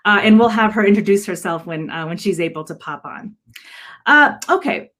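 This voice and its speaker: A woman's voice: medium pitch, lively intonation, moderate pace, fairly bright tone. Woman hosting a virtual panel, hesitant delivery. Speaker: panel host and adult education director. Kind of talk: hosting a virtual panel, semi-scripted remarks. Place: video call.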